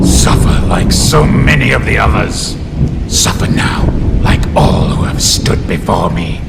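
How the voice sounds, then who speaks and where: Through heavy storm noise comes a menacing undead horror game killer. The voice is extremely creaky and crawls along very slowly, dragging out each threat with ominous relish. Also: male voice, fairly low pitch, heavily acted. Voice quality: gravelly, creaking rasp. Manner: slow, drawn-out, ominous threats. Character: menacing male undead killer in a rotting animatronic. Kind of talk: horror game villain acting. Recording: heavy rain and thunder noise. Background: rain and thunderstorm.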